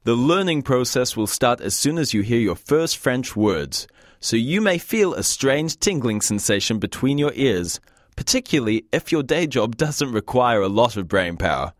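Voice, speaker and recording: A man's voice: mid-range voice, very animated, normal speed, dry recording, clean studio sound. A man from the UK hosting a scripted language course, with a wry, joking teacher's manner. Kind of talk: scripted lesson narration.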